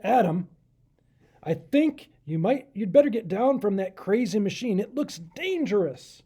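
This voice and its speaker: A concerned, kindly animal king from a storybook, performed by a man reading aloud. His voice is husky and mellow, with a fatherly, questioning manner. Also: high voice, very animated, measured pace, full character voice. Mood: worried.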